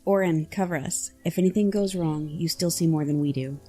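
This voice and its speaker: A woman playing a questioning young member of a fighting trio in an audio drama, with a husky, muted voice. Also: low-pitched, brisk, warm tone, faint music behind. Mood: urgent.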